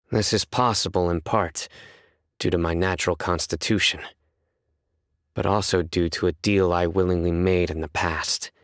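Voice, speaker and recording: An actor playing a stern, composed young male judge in a video game, speaking in a measured, serious, deadpan way. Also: low-pitched, no room sound, clean studio sound. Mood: calm, stern. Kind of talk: scripted game character voice lines.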